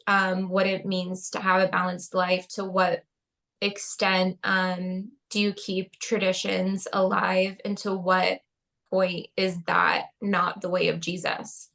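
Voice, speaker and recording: A woman's voice: mid-range voice, steady tone, takes it slowly, gravelly, a bit bright. A young adult woman speaking as a podcast guest in a casual interview, earnest and heartfelt, with frequent "um" and "like".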